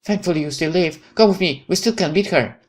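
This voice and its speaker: A woman voicing a fierce, desperate girl in a visual novel, frantic and scolding in a shrill voice. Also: a little fast, a little mellow, lightly acted. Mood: urgent. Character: fierce, desperate supernatural girl rival, quick to fury.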